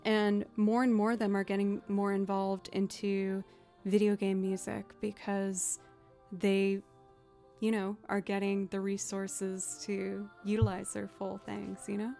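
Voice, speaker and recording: Chatty low-voiced woman streamer. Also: completely flat delivery, measured pace, vocal fry, mellow, faint music behind. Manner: chatty, rambling, self-deprecating. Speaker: streamer and musician. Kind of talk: casual chat with live chat.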